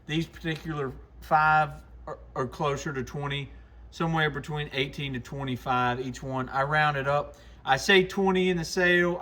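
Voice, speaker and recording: A man's voice: fairly high pitch, fairly bright tone. A man hosting an informal, plain-spoken product demonstration for a video channel, explaining things casually as a shop owner.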